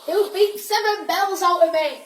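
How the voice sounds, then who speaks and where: A woman's voice: very high-pitched, measured pace. A woman playing an anxious, gloomy but loyal schoolboy in an audio drama, with a worried, doleful manner. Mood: frightened.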